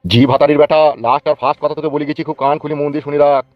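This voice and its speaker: An actor voicing a bullying, foul-mouthed cartoon politician in rural West Bengal Bengali, hectoring and boastful. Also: male voice, fairly high pitch, little pitch movement, racing, dry recording, muffled recording, soft background music, lightly acted. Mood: angry, threatening. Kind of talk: comic cartoon dubbing.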